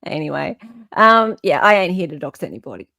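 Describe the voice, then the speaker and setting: A woman's voice: lowish voice, big pitch swings, fairly quick, gravelly, pushed and bright, no room sound. A woman with an Australian accent hosting a casual live chat, emphatic and given to repeating phrases for effect. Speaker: livestream host.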